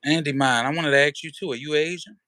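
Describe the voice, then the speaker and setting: A man's voice: on the high side, steady tone, fast talker, sharp tone, no echo, studio quality. A man hosting a livestream, chatting casually to his viewers in a laid-back, slangy way with lots of fillers. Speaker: livestream host.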